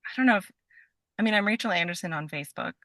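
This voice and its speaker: Woman, very bright voice, dry recording, casual chat, self-deprecating, giggly podcast guest. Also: fairly low pitch, expressive, rapid-fire, no echo.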